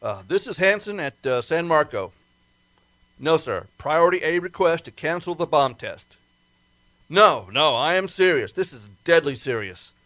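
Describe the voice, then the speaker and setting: A man's voice: fairly high pitch, very animated, unhurried, fairly bright tone, no echo. A man acting an earnest government project man in an amateur radio play. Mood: urgent, insistent. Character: Hank, earnest government project man, urgent then grimly resigned.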